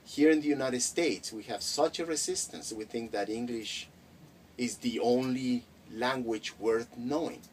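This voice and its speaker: A middle-aged Mexican-born man whose first language is Spanish, recalling his schooling in a relaxed interview with frequent hesitations and restarts. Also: medium pitch, measured pace. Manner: reflective, many hesitations and restarts. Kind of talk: casual interview. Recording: distant mic with faint noise.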